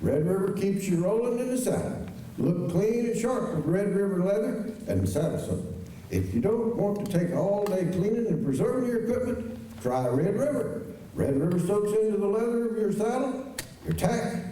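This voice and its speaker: High, soft-toned male announcer, very echoey. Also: expressive, normal speed. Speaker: radio commercial announcer. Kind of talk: old-time radio sponsor ad read. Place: high school auditorium stage. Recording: very echoey auditorium, distant, faint noise.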